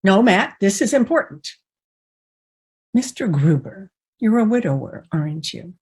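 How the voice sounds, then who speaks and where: An actress playing a sharp-tongued, protective frontier woman with an American accent, husky and blunt, in a Western radio drama. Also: mid-range voice, big pitch swings, a little slow. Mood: accusing. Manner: blunt, pointed questioning.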